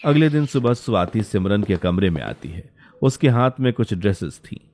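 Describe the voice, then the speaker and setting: A man's voice: lowish voice, lively intonation, moderate pace, darkish tone. A man narrating a scripted story as its storyteller.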